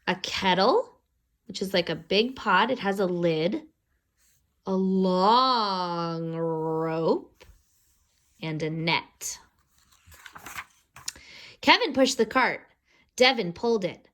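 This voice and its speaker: A woman reading a children's storybook aloud expressively, pausing to explain words and pictures to young listeners. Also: mid-range voice, animated, fairly bright tone.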